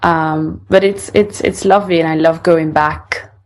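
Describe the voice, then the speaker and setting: A woman's voice: low-pitched, fairly even intonation, unhurried. A young woman from Italy, a singer-songwriter, speaking English with an Italian accent in a casual interview, thoughtful and often restarting her sentences.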